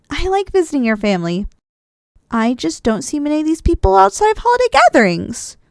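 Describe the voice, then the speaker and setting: A woman acts out a drowsy, doting wife in an ASMR roleplay. Her voice is fairly high and swings playfully up and down as she gently teases her partner. The sound is intimate and very dry, with soft sighs and an affectionate manner. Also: creaky, no room sound, heavily acted. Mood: relaxed, musing. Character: affectionate, drowsy, playful wife cuddling after a big dinner. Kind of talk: ASMR roleplay, intimate one-sided dialogue.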